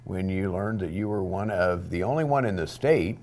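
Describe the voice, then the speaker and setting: Deep-voiced male TV interviewer. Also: expressive, moderate pace, darkish tone. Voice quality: low voice. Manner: conversational, prompting questions. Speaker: interview host. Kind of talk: local TV interview.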